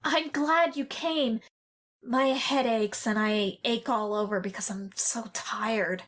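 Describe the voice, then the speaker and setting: A woman's voice: fairly high pitch, slow, a bit bright. A woman playing a spoiled, lonely, sickly young boy, fretful and wistful, in an audio drama. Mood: weary, dreamy.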